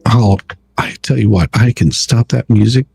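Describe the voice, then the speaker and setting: A man with a husky, raspy voice hosting a live stream in a casual, folksy way, often repeating himself as he explains. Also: on the low side, normal speed, a little mellow, faint music behind. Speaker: live stream host running the show.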